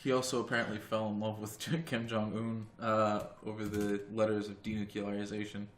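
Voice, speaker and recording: A man's voice: fairly low pitch, little pitch movement, normal speed. A young man co-hosting a podcast in casual chat, blunt and swearing offhandedly. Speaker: podcast co-host. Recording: echoey room with faint noise.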